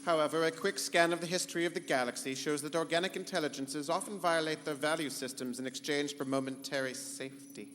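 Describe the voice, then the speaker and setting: Man playing a pompous, bright-voiced rogue computer. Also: on the high side, steady tone, fast, hardly any pauses, lightly acted. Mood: smug, threatening. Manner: grandiose, formal, condescending. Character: pompous rogue ship computer, menacing, sulky tyrant. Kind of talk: live pulp sci-fi radio comedy acting. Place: live convention stage. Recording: echoey hall, noise and hum.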